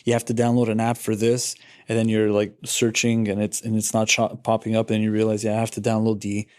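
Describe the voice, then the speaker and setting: A man's voice: on the low side, monotone, brisk, darkish tone. Man rambling through a mild rant. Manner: ranting, rambling. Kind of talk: casual chat.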